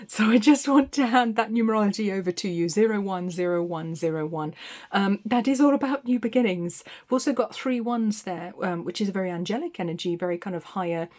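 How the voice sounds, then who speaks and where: A woman giving an intuitive tarot reading to camera, meandering and reflective, with frequent ums and soft restarts, recorded on a phone. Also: medium pitch, a little fast. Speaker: tarot reader.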